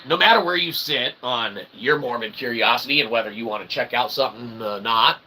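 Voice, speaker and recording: A man's voice: medium pitch, fairly quick, background noise. A man delivering a rambling philosophical monologue as a streamer, in wordy, pseudo-academic phrasing, emphatic and punctuated with check-in okays and the odd swear. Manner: verbose, pseudo-academic wording with tag okays and occasional swearing. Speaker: streamer, self-styled author and philosopher.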